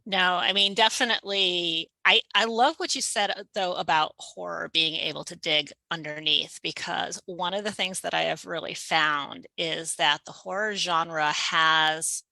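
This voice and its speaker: A woman's voice: fairly low pitch, fairly even intonation, unhurried, vocal fry, hardly any pauses, sharp tone, dry recording, rough recording. A woman moderating a book event in a conversational, earnest and affirming way. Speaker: panel moderator.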